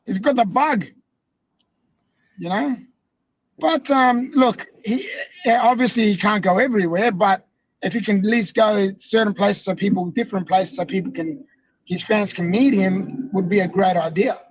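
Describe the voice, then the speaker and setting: A high-voiced man on a livestream call, talking emphatically and repeating himself. He swears freely. The sound is dull and lo-fi, like a remote call. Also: moderate pace, breathy edge. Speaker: livestream panel guest. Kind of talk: casual chat.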